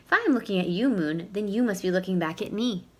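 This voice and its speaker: A woman's voice: mid-range voice, fast talker, darkish tone, close to an everyday voice. A woman voicing a silly, earnest, sentimental male owl from a children's storybook, with dramatic delivery. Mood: friendly, earnest.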